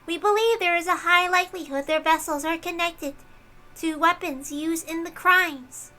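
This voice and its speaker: A woman's voice: very high voice, normal speed, bright, forceful tone, soft background music, pitched above the performer's own voice. A woman voicing a prim, ruthless young female inquisition officer, formal and coldly dutiful, in a visual novel read-aloud. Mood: stern, ruthless.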